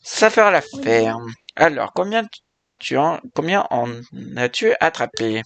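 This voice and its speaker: A man reading aloud the lines of an elderly, eccentric male ghost-hunting scientist from a video game, in a halting, put-on accent. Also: a little high, very animated, fairly bright tone, heavily acted. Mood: instructive. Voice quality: halting reading of on-screen dialogue. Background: game music.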